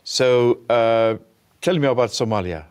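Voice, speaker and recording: Halting male talk show host. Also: mid-range voice, darkish tone, clean tone. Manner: hesitant, halting with fillers and restarts. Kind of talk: interviewing a guest.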